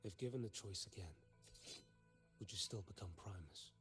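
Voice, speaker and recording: An actor playing a stern, guilt-laden warrior in a video game, speaking in a measured, somber and formal way. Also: male voice, fairly low pitch, faint music behind, lightly acted. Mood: grim, resolute. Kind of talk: dramatic video game acting.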